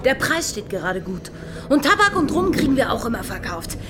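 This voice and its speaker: Firm, higher-voiced pirate woman. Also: sing-song, fairly quick, background noise. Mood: matter-of-fact. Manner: firm, earnest. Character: level-headed, wary female pirate. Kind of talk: German radio drama acting. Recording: studio radio drama with loud music.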